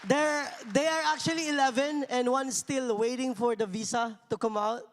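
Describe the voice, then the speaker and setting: A man's voice: very high-pitched, fairly even intonation, moderate pace, some chatter behind, a little hiss or hum. A man introducing guest missionaries to a congregation, speaking hesitantly with many "uh" fillers. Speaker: church member introducing guests. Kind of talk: casual announcement. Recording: long church-hall reverb.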